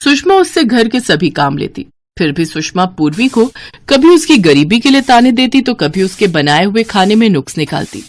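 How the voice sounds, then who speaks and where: Medium-pitched woman narrating a story. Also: big pitch swings, normal speed. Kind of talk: scripted children's story narration.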